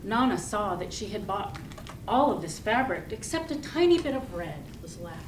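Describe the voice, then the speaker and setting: A woman giving a rehearsed storytelling speech to an audience, speaking deliberately and expressively with pauses. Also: medium pitch, sing-song. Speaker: club speaker giving a tall tale speech. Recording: roomy, distant mic with loud noise.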